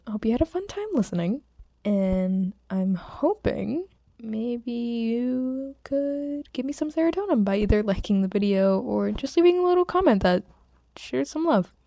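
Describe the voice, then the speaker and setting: Female hobby audiobook reader, relaxed dark tone, sore throat, informal delivery, close dry sound. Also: on the high side, no echo. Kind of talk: story narration and casual chat with listeners.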